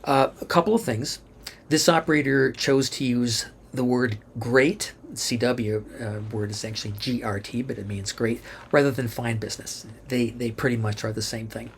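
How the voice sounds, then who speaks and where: A man's voice: medium pitch, steady tone, moderate pace, gravelly. Methodical male instructor over background noise. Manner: methodical, step-by-step explaining, spelling out letters one by one. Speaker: instructor and presenter. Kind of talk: instructional narration of an amateur radio tutorial.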